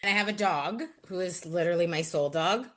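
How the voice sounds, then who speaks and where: Interview guest, woman, 30s, casual and chatty, keeps the voice somewhat low and bright. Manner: chatty, full of "like" and quick asides.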